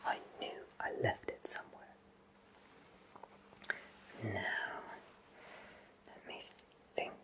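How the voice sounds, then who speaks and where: Man playing a gentle whispering wizard shopkeeper. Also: close to an everyday voice. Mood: flustered, searching. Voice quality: soft breathy whisper. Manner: slow, gentle, hesitant, reassuring. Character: friendly, absent-minded male wizard running a potion shop. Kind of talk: whispered ASMR roleplay.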